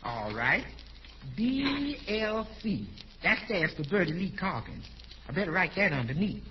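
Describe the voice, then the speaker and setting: A woman's voice: on the low side, very animated, measured pace. A good-humored housekeeper in vintage radio comedy. Her delivery swings up and down freely as she cracks wise, on a muffled old recording with faint hiss. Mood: brisk. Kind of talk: 1940s radio comedy acting.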